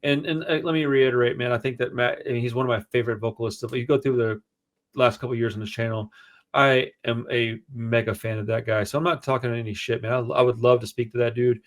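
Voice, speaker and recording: A man with a booming voice co-hosting a casual music review show, talking in an animated, slangy, rambling way. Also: quick pace. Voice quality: booming, projecting voice. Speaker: podcast co-host.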